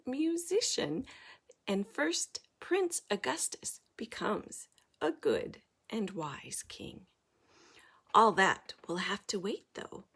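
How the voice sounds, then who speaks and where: High, animated woman reading a storybook. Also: vocal fry, breathy edge. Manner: dramatic, deliberate. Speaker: storybook reader. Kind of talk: expressive children's story narration.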